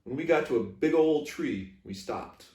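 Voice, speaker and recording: A man's voice: fairly high pitch, lively intonation, normal speed, dark tone. Man reading aloud in an echoey room. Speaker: library reader. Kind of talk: storybook read-aloud narration. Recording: echoey room, distant microphone.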